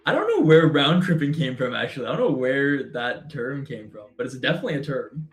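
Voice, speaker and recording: A man's voice: medium pitch, big pitch swings, fairly quick. A young man from Canada co-hosting a trading live stream, chatting casually with a lot of fillers and frequent laughs. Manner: chatty, laughs often, filler-heavy rambling. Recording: roomy sound with faint music.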